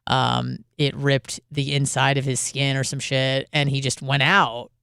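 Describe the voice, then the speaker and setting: A woman's voice: rumbling low, level tone, unhurried, gravelly, a bit bright, clean tone, no echo. Female call-in host, loose and profane, clean voice, dry studio mic. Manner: rambling, profane, frequent fillers. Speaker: radio show host. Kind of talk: casual call-in radio talk.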